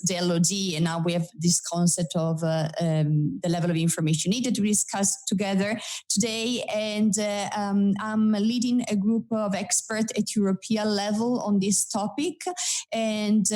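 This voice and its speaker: A woman in her thirties speaks English with an Italian accent, her voice mellow and dark in tone. She answers as a guest expert, pausing often with fillers and restarting her sentences. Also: mid-range voice. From Italy. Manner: hesitant, many fillers and restarts. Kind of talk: interview answers.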